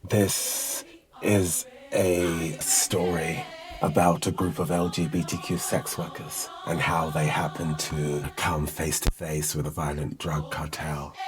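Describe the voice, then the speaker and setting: A man talking in an emphatic, declarative way as a writer and actor presenting a film project. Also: very deep voice. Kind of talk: promotional talk to camera. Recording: music under the voice. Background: loud background music.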